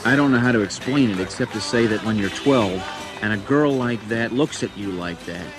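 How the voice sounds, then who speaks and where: A man's voice: lowish voice, moderate pace, music in the background, close to an everyday voice. An American actor playing a grown man who narrates memories of his boyhood crush in a wry, nostalgic, dramatic way. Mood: earnest, wry. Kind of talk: scripted first-person narration.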